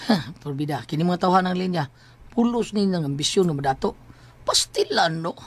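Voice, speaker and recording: A woman's voice: fairly low pitch, animated, fairly quick. A woman playing an exasperated, worried wife in a Cebuano radio drama, speaking plaintively with emotional exclamations. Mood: brooding, suspicious. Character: exasperated, worried wife of a lottery-crazed husband.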